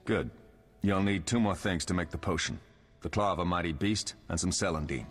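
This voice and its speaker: Deep, echoing monster hunter. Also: male voice, brisk, a bit bright, husky, soft background music. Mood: resolute. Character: resolute male monster hunter, brisk comrade. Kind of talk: video game voice acting. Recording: long hall reverb on the voice.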